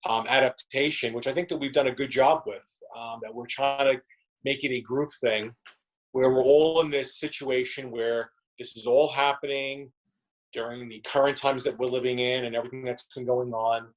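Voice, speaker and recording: A man's voice: medium pitch, steady tone, normal speed, muffled recording. A man with a mid-range voice talks as a guest in an interview. He rambles conversationally, circling back and repeating words as he explains his work as a performer.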